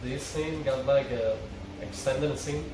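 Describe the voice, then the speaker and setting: A man's voice: mid-range voice, slow, background noise. A man reading a TV script aloud, narrating stage directions with informal asides. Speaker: table read narrator and reader. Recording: very echoey room, distant, music behind.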